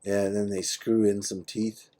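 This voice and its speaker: A man's voice: lowish voice, level tone, moderate pace, warm tone. A man with an American accent chatting casually on a phone call in a laid-back, matter-of-fact way, in short plain sentences. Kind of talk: casual personal phone chat.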